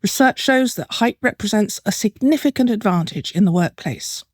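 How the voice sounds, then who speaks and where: A woman's voice: medium pitch, darkish tone, slightly rough, no echo. Articulate woman host, dry close sound. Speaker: podcast host and interviewer. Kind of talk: scripted narration then interview questions.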